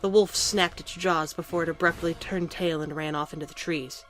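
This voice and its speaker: A woman's voice: low-pitched, steady tone, moderate pace, faint music behind, rough recording. A woman reading scripted story narration for an audio drama.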